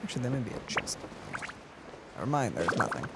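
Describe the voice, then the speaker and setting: A man's voice: mid-range voice, big pitch swings, fast talker, gravelly, noisy background. A man hosting a let's play, chatting casually in a playful, offhand manner. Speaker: let's play host and player. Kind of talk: casual gaming chat.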